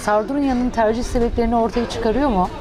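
Woman, interviewer, upbeat, brisk prompting questions, outdoor terrace. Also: on the high side, fairly even intonation, crowd noise, rough recording. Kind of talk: interviewing a business owner.

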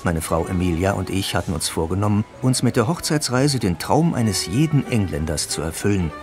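An actor playing a Victorian gentleman who narrates his memories in a measured way for a German radio play. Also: deep voice, expressive, music in the background. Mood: measured, reminiscing.

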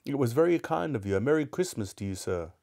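A man puts on an old miser bursting with joy, his voice lifted high above its usual low register and tumbling out eagerly. The tone stays warm and mellow, with a breathless, giddy delight in every word. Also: quick pace, vocal fry. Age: older. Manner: excited, eager, breathless warmth. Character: overjoyed elderly miser turned generous man.

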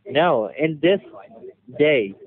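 A man's voice: a little high, animated, very slow. A man working as an insurance agent, making a sales call over the telephone. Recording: tinny narrowband phone line.

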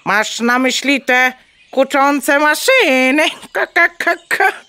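A woman's voice: high-pitched, lively intonation, slow, bright, forceful tone, heavily acted. A woman playing a curious, plucky, naive hen in a children's audio play, exclaiming and stammering into clucks. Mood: indignant.

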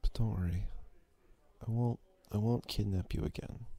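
A man playing a quiet, obsessive, possessive schoolboy in an ASMR roleplay, halting and breathy. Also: lowish voice, fairly even intonation. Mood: apologetic, pleading. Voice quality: husky, breathy. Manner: halting, stammering, brooding. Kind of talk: ASMR roleplay, intimate acted monologue.

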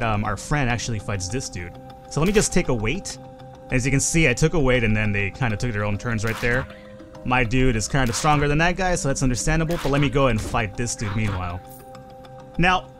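A young man giving casual, rambling, self-deprecating commentary over a game he is playing. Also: mid-range voice. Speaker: gaming channel host.

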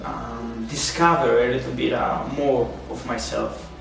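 Young man, slow and gravelly, halting, distant mic in an echoey room. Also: medium pitch, steady tone, music in the background. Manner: halting, searching for words. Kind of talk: interview answer. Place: small indoor room. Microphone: far camera mic.

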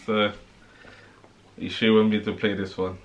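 A young man from Congo, a fiancé joining a casual vlog, teasing in relaxed banter while talking to a camera in a bedroom. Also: lowish voice, a little slow, lo-fi sound. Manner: teasing, laid-back banter. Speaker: guest, fiancé. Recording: loud music playing behind.

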